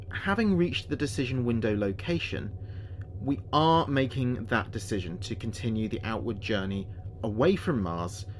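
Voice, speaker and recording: A British man playing an earnest spaceship communications officer recording logs in a sci-fi audio drama, speaking in a measured, precise way. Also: on the low side, slight background noise.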